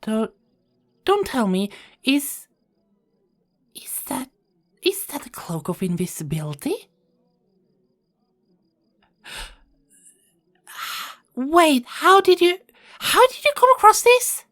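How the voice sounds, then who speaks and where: Woman playing a soft, unhurried young queen. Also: sits high, very animated, full character voice. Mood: startled, amazed. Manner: formal wording, soft and hesitant with small stammers. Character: young unmarried queen, caring, dutiful, yearning to escape. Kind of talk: ASMR roleplay, scripted character acting.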